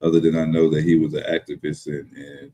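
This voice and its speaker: A man's voice: very deep voice, flat delivery, fairly quick, warm tone, slight background noise. A man in his thirties hosting a live podcast, speaking politely and hesitantly. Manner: polite, hesitant, many fillers and restarts. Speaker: podcast host. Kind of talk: casual live podcast talk.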